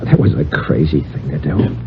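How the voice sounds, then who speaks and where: An actor playing a nervous, desperate office worker near breaking point, hesitant and agitated, in old-time radio drama. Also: male voice, extremely low pitch, lively intonation, moderate pace, breathy edge, dull audio, full character voice. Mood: bitter, desperate. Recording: noisy old radio recording.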